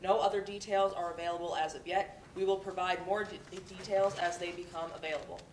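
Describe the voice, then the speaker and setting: A woman's voice: lowish voice, fairly even intonation, fairly quick, natural voice. A woman playing a composed, formal radio news anchor reading a bulletin in a stage play reading. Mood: formal, urgent. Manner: formal newsreader delivery. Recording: echoey distant mic, faint noise.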